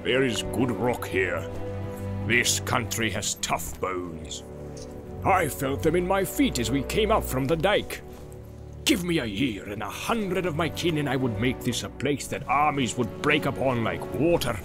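Audiobook dwarf: hearty, battle-hungry, boastful, very animated delivery, faint music behind. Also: male voice, medium pitch, unhurried. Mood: hearty, proud. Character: gruff, hearty dwarf warrior, battle-eager, proud. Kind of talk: dramatized audiobook character reading.

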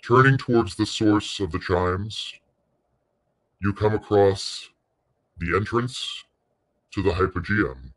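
Male meditation narrator, low register, very slow delivery, soothing and unhurried, short phrases with pauses. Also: expressive. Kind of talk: guided meditation.

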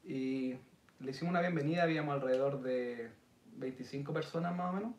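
A man in his thirties with a Chilean accent, telling stories in a relaxed podcast chat with Chilean slang. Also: mid-range voice, quick pace. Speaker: podcast host. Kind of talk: casual chat. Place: indoor studio set with city backdrop. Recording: roomy sound, mic a bit distant.

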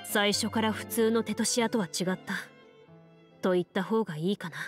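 A woman's voice: medium pitch, faint music behind, lightly acted. Cool, composed woman duelist played by an actress. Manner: measured, formal, understated. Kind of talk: anime game voice acting.

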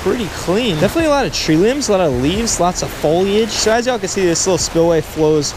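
A young man vlogging outdoors by the water on a windy day, chatty and excitable, talking into an action camera. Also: high-pitched, quick pace. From Delaware, US. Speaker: fishing vlogger. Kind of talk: casual vlog narration. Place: outdoors by a windy spillway, also a store and a parked car. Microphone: action camera, handheld or chest-mounted. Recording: outdoor wind noise.

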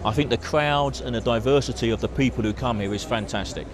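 A man's voice: medium pitch, fairly quick, background noise. A man interviewed at a busy indoor trade expo, chatty and upbeat, leaning on "you know" and "obviously" while he talks. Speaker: managing director of a security firm. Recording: crowd chatter behind.

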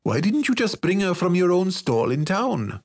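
A man voicing an irritable, rough-spoken teenage ranch lad in a fantasy storybook, with a nasal voice. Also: high voice, very animated, moderate pace, darkish tone, voice pushed up, exaggerated voice. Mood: grumbling, curious.

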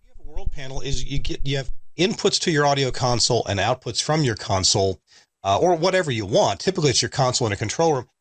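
A man's voice: medium pitch, lively intonation, fast talker, no echo. A man giving an informal technical explanation.

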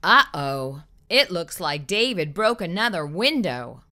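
Animated woman reading to young children. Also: lowish voice, unhurried. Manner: expressive, asks the listener questions, exaggerated emphasis. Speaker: children's storytime host. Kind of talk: read-aloud to young children. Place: small furnished room indoors. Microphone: lapel microphone.

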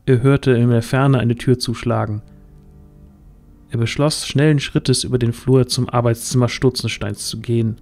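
A man narrates a fantasy audio drama. His voice is mellow and dark, at a middling pitch, reading scripted storytelling over faint music. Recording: music under the voice.

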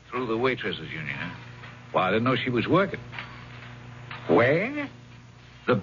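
Lively, cynical investigator. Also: male voice, on the high side, heavily acted. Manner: animated, wry, clipped. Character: cynical, shrewd, wary investigator on the telephone. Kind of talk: 1970s radio drama acting. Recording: dull, slightly noisy 1970s radio recording.

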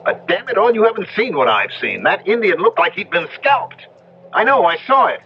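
An actor playing an anxious, superstitious young American husband in a 1970s radio drama, speaking with urgent foreboding. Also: male voice, sits high, big pitch swings, moderate pace. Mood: agitated, insistent. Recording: noisy, muffled old radio broadcast.

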